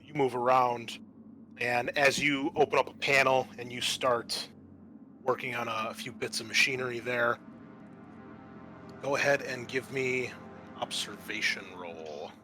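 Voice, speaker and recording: A man working as game master, improvising narration for a tabletop roleplaying game in an informal, conversational, halting way. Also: mid-range voice, fairly even intonation. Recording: music behind the voice. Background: music.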